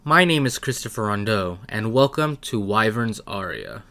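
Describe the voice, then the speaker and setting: A man's voice: lowish voice, lively intonation, moderate pace. A man hosting and narrating a tabletop role-playing game as game master. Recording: music under the voice. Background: music.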